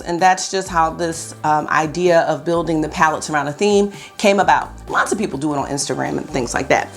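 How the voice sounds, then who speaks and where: A talkative woman in her thirties speaks straight to camera from a home bedroom, friendly and upbeat, calling her viewers y'all. Her voice sits at a middling pitch with a slight early-morning scratch. Soft music plays faintly underneath. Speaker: beauty vlogger. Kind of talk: casual beauty vlog talk to camera.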